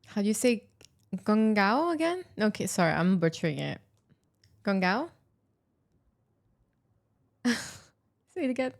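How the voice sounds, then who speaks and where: A woman's voice: medium pitch, expressive, measured pace, creaky, pauses often. A woman hosting a casual podcast chat, asking playful, curious questions and giggling. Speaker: podcast host.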